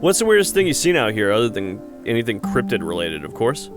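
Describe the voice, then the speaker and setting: A man narrating a horror visual novel aloud as a host, with expressive storytelling. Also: lowish voice, fast. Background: background music with guitar.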